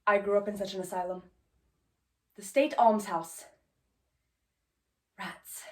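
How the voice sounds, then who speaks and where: A woman performing a stage monologue as a haunted, defiant young teacher, speaking plainly and deliberately with pauses. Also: mid-range voice, fairly even intonation, darkish tone, voice pushed down, lightly acted. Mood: grim, matter-of-fact.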